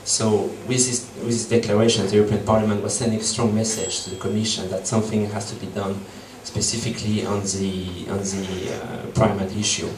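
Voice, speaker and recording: A man's voice: on the low side, little pitch movement, brisk, few pauses, noisy background. A man in his thirties with a French accent, giving a hesitant public talk to an audience in a meeting room. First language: French and Portuguese. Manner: hesitant, many ums and restarts. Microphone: far room camera. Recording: echoey room from far off.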